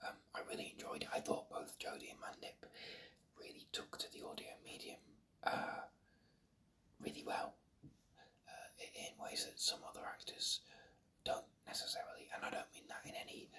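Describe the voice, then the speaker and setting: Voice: pushed and bright, far from the mic. A reviewer whispering an ASMR video review in a hesitant, rambling, stop-start way.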